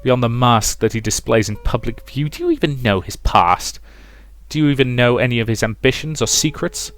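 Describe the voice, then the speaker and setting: A man's voice: mid-range voice, very animated, normal speed, a bit bright. A man voicing a domineering, suspicious mother who presses her child insistently, in a visual novel. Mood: probing, pressing. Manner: insistent, probing, emphatic. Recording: music behind the voice.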